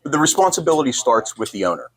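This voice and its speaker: An American man answering as a store owner in an interview, explaining things in measured, formal phrasing. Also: medium pitch, big pitch swings, a bit bright.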